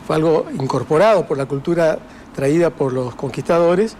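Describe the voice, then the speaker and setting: A man's voice: a little high, animated, normal speed, a little mellow, a little hiss or hum. An older man with an Argentine Spanish accent, speaking reflectively as an author in an interview, with many fillers and restarts. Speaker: author guest. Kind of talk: interview conversation.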